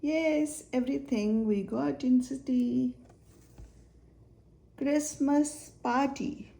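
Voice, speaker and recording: A woman reads a children's picture book aloud at a very slow pace. Her voice is fairly high and creaky, with a soft, mellow tone. She names things in short, simple phrases and puts simple questions to the listener. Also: fairly even intonation. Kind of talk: slow read-aloud search-and-find for young children.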